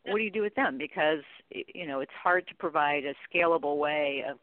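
A woman's voice: sits low, fairly quick, no echo. A middle-aged woman speaking as an interview guest, explaining things informally and peppering her points with "you know". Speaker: guest, contact center training expert. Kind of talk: conversational interview discussion. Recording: narrow, tinny phone-like line.

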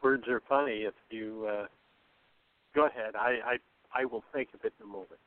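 A man's voice: lowish voice, fairly even intonation, normal speed, no room sound. Hesitant man on a thin phone-like line. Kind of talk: casual group chat. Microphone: remote call. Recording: thin phone-like call audio.

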